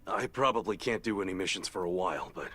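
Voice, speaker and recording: A weary shelter patroller. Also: male voice, low-pitched, lively intonation, fast, a bit bright. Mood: serious. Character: weary male shelter patroller, resting from injury.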